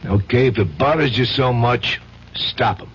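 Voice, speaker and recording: An actor with an American accent playing a streetwise, slangy, loyal but menacing pal in an old-time radio drama, casual and wisecracking. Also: male voice, medium pitch, measured pace, fairly bright tone, breathy edge, heavily acted. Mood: persuasive. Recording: dull, faintly noisy old radio recording.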